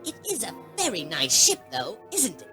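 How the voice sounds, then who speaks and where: A woman's voice: lowish voice, wildly swooping pitch, normal speed, faint music behind, heavily acted. A woman playing a fierce, fickle alien council member in a melodramatic comic radio play. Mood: curious. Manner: sharp, fanatical, melodramatic.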